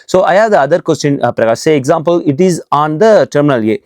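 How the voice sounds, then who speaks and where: Quick chatty guy hosting, tamil first language, dry close sound. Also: a little high, animated, darkish tone, dry recording. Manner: fast, eager talk with frequent fillers. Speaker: host. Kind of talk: casual interview.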